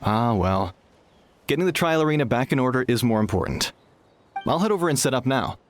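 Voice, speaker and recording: An actor voicing an earnest, excitable young townsman in a video game, chatting eagerly as he explains his plans. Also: medium pitch, brisk, slight background noise, lightly acted. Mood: curious, resigned. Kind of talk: video game English voice acting.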